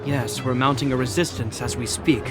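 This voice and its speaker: A man's voice: medium pitch, fairly even intonation, moderate pace, music in the background, lightly acted. A man voicing a courteous, dutiful guard officer in a video game, polite and helpful in a formal way. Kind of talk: English video game dub acting.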